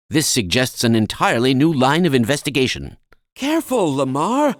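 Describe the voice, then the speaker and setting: A fussy, kindly older scientist from a video game. His voice sits slightly high and moves up and down with lively inflection. He talks in long, formal, pedantic sentences like an absent-minded academic. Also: exaggerated voice. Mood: intrigued, then flustered. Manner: pedantic, wordy, formal, precise scientific phrasing. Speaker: video game character voice actor. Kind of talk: scripted video game dialogue.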